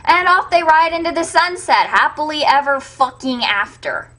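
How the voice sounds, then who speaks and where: A woman with a fairly high voice narrates like an irreverent comic storyteller. Her delivery is sarcastic and sweary, in the scripted style of a web show host. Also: lively intonation, normal speed, bright, forceful tone. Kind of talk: comedic irreverent fairytale retelling, scripted narration.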